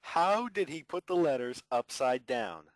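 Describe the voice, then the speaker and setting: A man's voice: on the high side, expressive, unhurried, a little hiss or hum. A man giving casual, joking commentary as part of a group reading.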